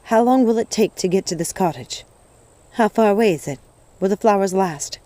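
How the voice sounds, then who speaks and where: A woman's voice: mid-range voice, moderate pace, warm tone, no room sound, natural voice. Woman playing a pleading young witch, storybook heroine. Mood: horrified, anxious. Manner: pleading, emotional.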